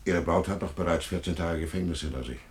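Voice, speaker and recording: An actor playing an officious police chief inspector in a radio play, sardonic, insinuating and dryly condescending. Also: male voice, deep voice, fast, a little hiss or hum, close to an everyday voice. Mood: stern, condescending. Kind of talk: German radio play acting.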